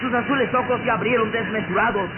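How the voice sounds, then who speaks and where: A man narrating a radio drama in a theatrical, suspenseful style with deliberate phrasing. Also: high-pitched. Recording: noisy, tinny old radio drama recording.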